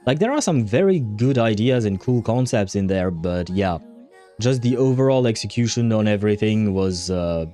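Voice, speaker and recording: A man hosting a casual livestream, talking in a rambling, halting way as he thinks aloud. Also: fairly low pitch, warm tone. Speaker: livestream host. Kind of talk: casual livestream chat over a game. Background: faint music.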